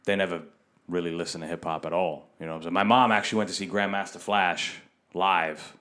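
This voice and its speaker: A man's voice: low-pitched, steady tone, brisk, a bit bright. A man from Rhode Island hosting a podcast in casual, slangy talk, swearing freely. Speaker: podcast host.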